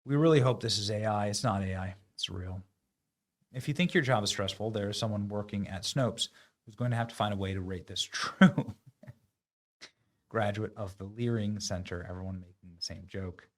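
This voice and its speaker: A man's voice: on the low side, normal speed. A man hosting online political commentary, talking in a mocking, sarcastic and emphatic way.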